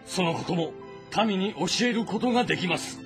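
An actor playing a composed, calculating and loyal strategist in a historical game drama, speaking formally and deliberately. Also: male voice, high voice, lively intonation, over music. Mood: earnest, persuasive. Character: composed, calculating, loyal male strategist advising his lord.

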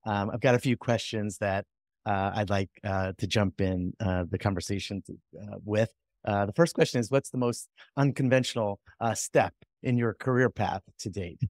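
A man in his forties with a lowish voice hosts a video interview through his headset. The sound is dry and close, and he asks his questions haltingly, with plenty of fillers. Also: a little mellow, no echo. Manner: hesitant, filler-heavy questioning. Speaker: interview host. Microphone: over-ear headset.

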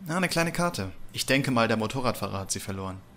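A man plays an investigator sidekick in a radio drama. He speaks casually at a middling pitch, calm, cooperative and level-headed. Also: fast. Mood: calm, helpful. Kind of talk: amateur German radio play acting.

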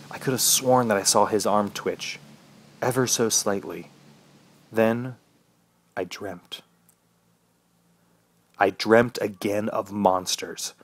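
Brooding trapped man played by an actor, noisy ambience. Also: lowish voice. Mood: haunted. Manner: brooding, halting, dramatic. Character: injured, thirsty trapped man recounting his ordeal. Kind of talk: first-person horror radio drama narration.

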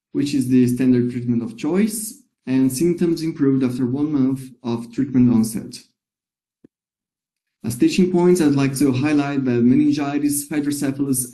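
A man presenting a medical case in a steady, measured reading. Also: medium pitch.

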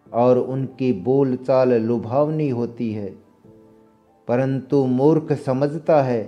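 A man giving a scripted, solemn and deliberate narration, as in a recital of verse and commentary. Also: a little high, dull audio. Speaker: narrator reciting Sanskrit verses and explaining them. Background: instrumental music.

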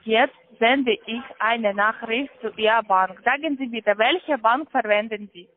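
High-pitched woman on the phone. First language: not German. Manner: insistent, halting non-native phrasing. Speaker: call-centre phone scammer. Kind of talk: pushy scripted phone instructions. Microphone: telephone call. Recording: dull lo-fi phone line.

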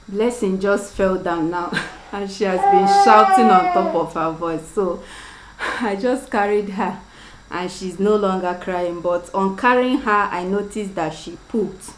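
A young Nigerian woman with a Nigerian accent, chatting to viewers while she cooks in her home kitchen. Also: mid-range voice. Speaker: cooking vlogger. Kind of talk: casual cooking demonstration. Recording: echoey kitchen with clear background noise.

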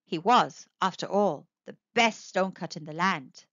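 A woman narrating a children's storybook aloud with expressive, animated storytelling. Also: fairly low pitch, a little slow, no echo. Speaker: storybook reader. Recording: dry, dull lo-fi sound.

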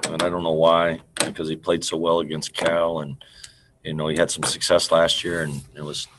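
A middle-aged man, a basketball coach, answering press questions over a video call, halting and trailing off quietly as he restarts sentences. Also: very deep voice, flat delivery, noisy background. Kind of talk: postgame press conference answers. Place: indoor room in front of a team backdrop. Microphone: video call computer mic.

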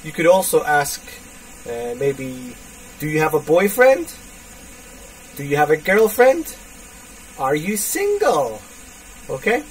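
A man in his thirties teaching a basic English lesson, speaking slowly and repeating phrases for learners. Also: a little high, huge pitch swings. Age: 30s. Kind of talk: online English lesson for students. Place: indoors, small room. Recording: distant webcam mic with faint hiss.

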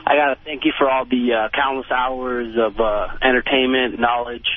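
A man's voice: mid-range voice, flat delivery, normal speed. A man in his late twenties calling a radio show with a casual, admiring manner. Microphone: telephone. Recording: narrow, tinny phone line.

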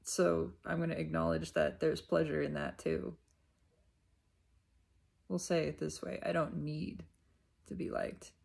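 A woman speaks in an unhurried, reflective monologue, as if thinking aloud. Her voice is husky with a lot of fry at the edges. She breaks her thoughts into short phrases and repeats them deliberately. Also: sits low, expressive, darkish tone. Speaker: self-reflective spiritual vlogger. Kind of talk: unscripted reflective spiritual monologue.

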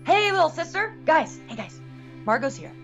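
A woman playing a brash, excitable young man in an audio drama, slangy and emphatic, over music. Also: high voice, normal speed, full character voice. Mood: friendly.

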